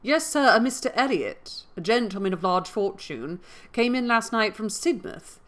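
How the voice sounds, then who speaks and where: A woman's voice: medium pitch, expressive, moderate pace, fairly bright tone, pitched above the performer's own voice, lightly acted. A woman voicing a deferential, chatty male inn waiter with rustic, plain-spoken manners in a storybook. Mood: obliging, chatty.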